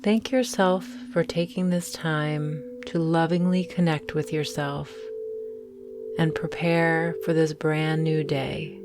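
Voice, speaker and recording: A woman guiding a mindfulness meditation in a calm, soothing, measured way, leaving long pauses between phrases. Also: deep voice, noisy background. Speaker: meditation guide. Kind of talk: guided mindfulness meditation narration.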